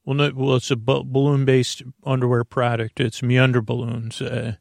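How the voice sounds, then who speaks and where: A man's voice: mid-range voice, little pitch movement, moderate pace, vocal fry, dry recording, clean studio sound, natural voice. A man playing a goofy male inventor who shows off his balloon underwear, saying "me" for "my". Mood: hammy. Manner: hammy, pirate-like "me" for "my".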